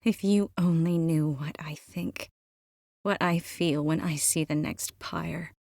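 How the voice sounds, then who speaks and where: A woman voicing an earnest, tender, quick-witted young sorceress in dramatic fantasy video game dialogue. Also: deep voice, steady tone, vocal fry, darkish tone, dry recording, studio quality, exaggerated voice. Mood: grave, hushed.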